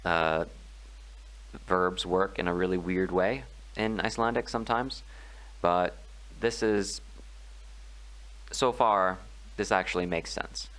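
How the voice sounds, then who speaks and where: Slow, deep-voiced man teaching grammar. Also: steady tone, fairly bright tone, low-quality recording. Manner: slow, measured explaining with frequent ums and pauses. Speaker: language tutor. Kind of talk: screen-recorded grammar tutorial.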